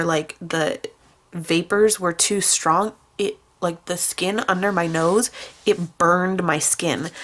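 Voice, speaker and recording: A young woman talks in a nasal, stuffed-up voice, pitched a little low. She rambles casually with plenty of fillers while she works on a planner at her desk. A faint hiss of background noise sits under the overhead camera mic. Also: a bit bright. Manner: rambling, chatty, with many fillers. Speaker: planner vlogger. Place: at a desk indoors, hands on a planner.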